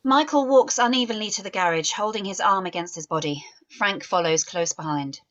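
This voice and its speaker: A woman narrating stage directions in a script table read, measured and descriptive. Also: mid-range voice, dull audio.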